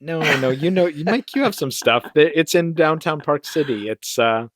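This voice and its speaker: A man's voice: fairly high pitch, animated, normal speed, no room sound. A man from Minnesota hosting a podcast, chatting casually and teasing his guest with jokes. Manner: teasing, joking. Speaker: podcast host.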